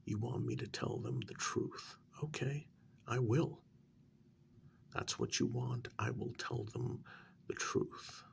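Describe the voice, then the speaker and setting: A man playing a stern, sardonic interrogator of a captive vampire in a soft-spoken ASMR role-play, halting and probing, drifting into whispers. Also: medium pitch, huge pitch swings, gravelly, slight background noise, heavily acted. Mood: stern, exasperated.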